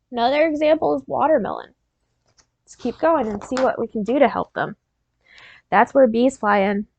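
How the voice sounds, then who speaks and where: A woman reading a picture book aloud as a teacher, pausing to prompt and encourage young listeners in an instructional way. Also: sits high, dry recording, lo-fi sound. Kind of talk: interactive read-aloud, storytelling with questions to young listeners.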